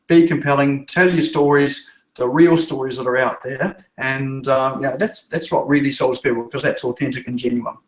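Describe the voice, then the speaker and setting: A man's voice: medium pitch, fairly even intonation, normal speed, hardly any pauses, echoey room, low-quality recording. A man from Norfolk Island with a New Zealand accent, a web developer answering interview questions in a chatty, rambling way with frequent restarts. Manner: chatty, restarts and repeats words.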